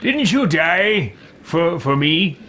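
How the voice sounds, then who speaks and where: Man doing a slow, doddering old hero. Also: a little high, big pitch swings, bright, forceful tone, pitched above the performer's own voice, heavily acted. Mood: muddled.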